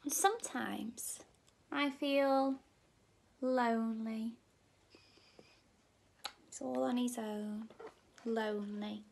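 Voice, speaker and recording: A young woman, a nursery teacher, reading a children's story expressively and gently, as if to small children. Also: on the high side, fairly even intonation, very slow, creaky, pauses often, a little hiss or hum. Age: young adult. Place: indoor room at home.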